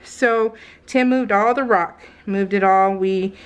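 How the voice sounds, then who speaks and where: An American woman with a mid-range voice chats casually while she works on crafts. She rambles through everyday stories, circling back and voicing little quoted exchanges in an animated way. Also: mid-range voice, takes it slowly, smooth. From California, United States. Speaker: craft vlogger.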